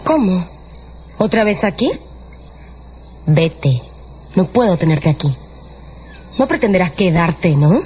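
An actress playing a capricious, volatile young courtesan in an impassioned, theatrical radio drama style. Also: female voice, fairly low pitch, sing-song, slow pace, pauses often, a little mellow, slight background noise. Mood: scornful, fed up. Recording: dull radio production with music underneath.

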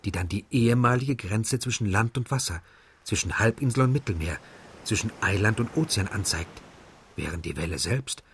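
A man's voice: lowish voice, expressive, moderate pace, slight background noise. A man reading a poetic literary text as scripted narration. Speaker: reader of a translated literary text.

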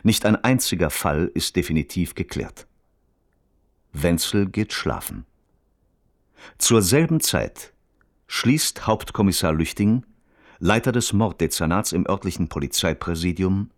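A man's voice: low voice, expressive, unhurried, no echo, studio quality. A man playing a radio reporter who narrates a crime case in a formal, precise, matter-of-fact documentary style. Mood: sober, factual.

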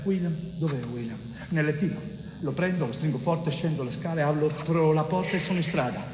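A man's voice: on the high side, fairly quick, a little mellow, a little hiss or hum, close to an everyday voice. An actor playing an innocent, anguished young working man, telling his story in a plaintive, intimate theatrical monologue. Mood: anxious, urgent. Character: innocent young bricklayer, anguished victim of injustice. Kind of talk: theatrical first-person storytelling. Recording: echoey hall reverb, music behind.

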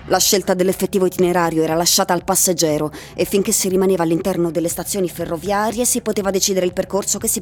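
A woman narrates a literary radio play. Her voice has a medium pitch, and faint music runs behind the scripted reading. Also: darkish tone.

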